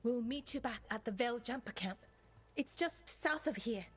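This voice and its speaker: A woman's voice: on the high side, animated, normal speed, breathy edge. A woman playing a composed, earnest elven scout leader in a video game, serious and authoritative. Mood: earnest, urgent.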